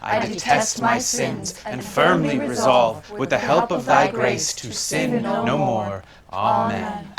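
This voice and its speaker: An actor on a theater stage playing a stern, sardonic man who taunts a condemned killer in measured, pointed phrases. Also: fairly high pitch, very animated, over a noisy crowd. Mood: mocking. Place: theater stage before an audience.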